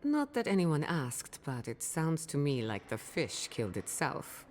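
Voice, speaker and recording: Blunt adventurer, deep for a woman, lively intonation, dry, sardonic, fantasy game. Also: a little slow, slight background noise, full character voice. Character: blunt, wry female adventuring companion. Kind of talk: fantasy video game acting. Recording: music behind the voice.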